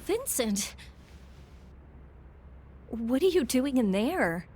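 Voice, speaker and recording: An actress playing a hesitant young woman, the hero's girlfriend, halting as she speaks. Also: fairly high pitch, big pitch swings, warm tone, husky, exaggerated voice. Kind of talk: video game cutscene voice acting. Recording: game audio with background music.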